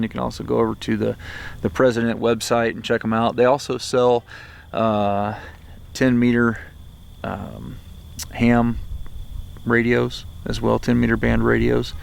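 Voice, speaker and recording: A low-pitched American man talks through a casual gear review in a loose, rambling, matter-of-fact way. His voice has a gravelly fry to it. It is picked up by a handheld camera with clear background noise. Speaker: presenter of gear review videos. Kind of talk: casual unscripted product review vlog.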